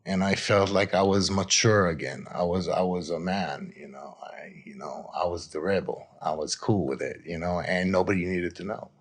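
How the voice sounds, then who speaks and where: A man from Israel telling his own story candidly as an interview guest, halting and reflective, often adding "you know". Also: sits low, slightly rough. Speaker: addiction recovery coach, interview guest.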